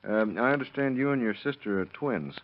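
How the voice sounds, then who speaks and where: A man's voice: medium pitch, lively intonation, a little fast, natural voice. An actor playing a shrewd male police detective with a dry, needling manner, in 1930s radio drama style. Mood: probing, polite. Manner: dry, needling, leading questions. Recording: dull lo-fi old radio recording.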